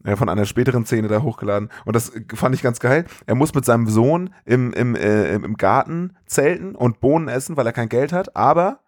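A man's voice: mid-range voice, lively intonation, a little fast, few pauses, no echo, studio quality. A man co-hosting a casual film podcast, rambling loosely and enthusiastically in slangy language. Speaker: podcast co-host.

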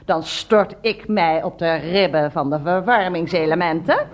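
Chatty housecleaner, slightly low voice, wide swooping intonation, emphatic, mock-earnest, indignant, satirical radio drama. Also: female voice, lively intonation, measured pace. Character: indignant chatty housecleaner, an unemployed engineering graduate. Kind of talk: satirical Dutch radio play acting.

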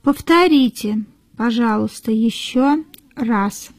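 A woman reading model sentences for learners in a careful, deliberate way, repeating each phrase. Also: on the high side, darkish tone, no echo. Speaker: native-speaker model reader.

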